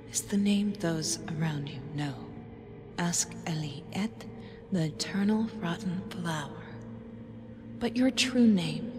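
A woman playing a grave, commanding moon goddess in a fantasy audio drama, whispery, solemn and halting, as if pronouncing prophecy. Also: fairly low pitch, noisy background.

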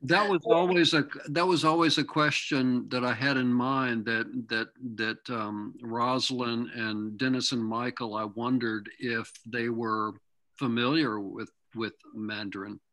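Man in his sixties, actor, bright edge, halting, dry sound. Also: mid-range voice, fairly even intonation, no room sound. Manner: halting, searching for words. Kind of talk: casual Q&A question. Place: home room.

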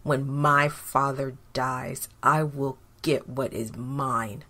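A woman's voice: low-pitched, expressive, a little slow. A woman voicing a resentful, hot-tempered firstborn son in a children's Bible story, with blunt, brooding delivery. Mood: vengeful.

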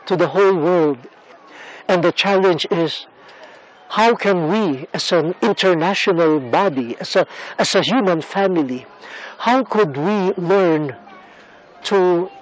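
A middle-aged church leader speaking outdoors on camera, measured and earnest, with frequent pauses. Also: male voice, high-pitched, rough recording. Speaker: church leader visiting a camp. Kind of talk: reflective on-camera statement. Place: outdoors on a rooftop above a camp.

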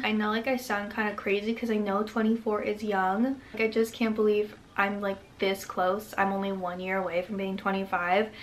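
A young woman in her twenties sits in her bedroom and talks straight to the camera in a loose, rambling way. She fills her thoughts with "like" and drops in soft laughs, her pitch sitting in an ordinary middle range. A faint hum of room noise sits underneath. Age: 20s. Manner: rambling, many "like" fillers, frequent laughs. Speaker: vlogger. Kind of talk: casual reflective talk to camera. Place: bedroom, indoors.

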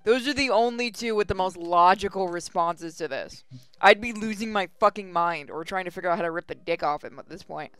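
A man's voice: sits high, fairly quick, fairly bright tone, clear, resonant tone, dry recording. A man reading a game's text aloud and commenting sarcastically, swearing often. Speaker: let's play host.

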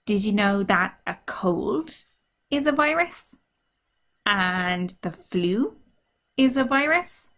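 A woman drama teacher reading a story aloud to children, asking them simple questions in an animated, child-directed way. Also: a little high. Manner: animated, simple child-directed talk, acting out feelings and sounds.

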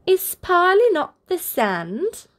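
A woman reading a picture book aloud for toddlers, with deliberate, expressive phrasing and encouraging asides. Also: very high voice. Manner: deliberate, expressive phrasing aimed at small children. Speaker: children's storybook reader, channel host.

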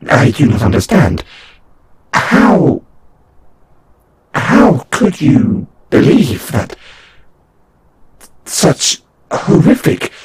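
A man voicing a condescending alien angel envoy in an audio roleplay, speaking in a halting, solemn, formal way with a breathy, husky voice. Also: medium pitch, a little mellow, exaggerated voice. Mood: baffled, solemn. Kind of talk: scripted audio roleplay monologue.

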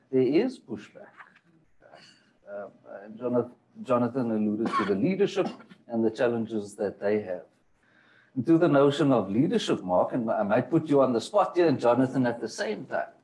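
A man moderates a panel, his pitch rising and falling in a lively way as he builds up long questions. He hesitates often and circles back as he searches for his words. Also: normal speed, rough recording.

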